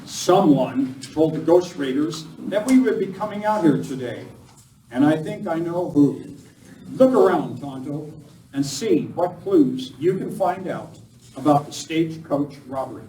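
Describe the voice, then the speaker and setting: An earnest, commanding western hero acted by a man in a live radio play. His voice sits a little high. It echoes around the room over faint noise. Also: expressive, unhurried, mellow. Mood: resolute. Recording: roomy, distant live recording, faint noise.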